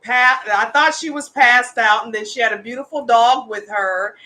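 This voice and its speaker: Bright-voiced earnest woman in her fifties. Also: fairly high pitch, moderate pace. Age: 50s. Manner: earnest, impassioned advocacy. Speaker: host, homeless women's advocate. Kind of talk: casual livestream talk.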